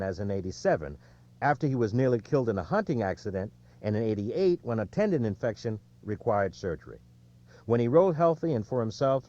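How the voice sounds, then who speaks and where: A man reading a nonfiction sports audiobook in a measured storytelling way, with emphasis on the quotes. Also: mid-range voice, mellow, dull audio. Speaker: audiobook narrator. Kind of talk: scripted nonfiction narration of a sports book.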